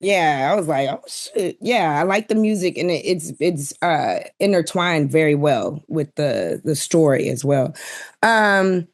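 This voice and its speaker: A woman's voice: low-pitched, lively intonation, measured pace. Colloquial woman chatting as a panelist. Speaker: podcast panelist. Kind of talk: casual chat.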